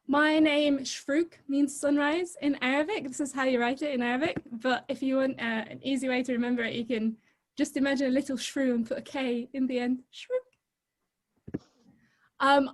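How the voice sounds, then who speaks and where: High-voiced young woman giving a talk. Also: a little hiss or hum. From Egypt. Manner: lively, chatty and informal, quick to laugh. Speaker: guest speaker. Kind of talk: presentation talk on a video call. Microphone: video call.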